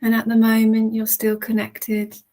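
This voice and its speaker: A woman leading a guided relaxation in a soothing, gentle manner with long, unhurried pauses. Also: a little high, monotone. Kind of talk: guided relaxation, meditation narration.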